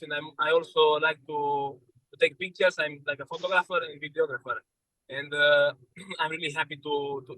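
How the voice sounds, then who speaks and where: A man's voice: on the high side, pushed and bright, dry recording, low-quality recording. A young man introducing himself, hesitant and repeating words. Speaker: photographer and videographer. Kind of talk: online webinar self-introduction.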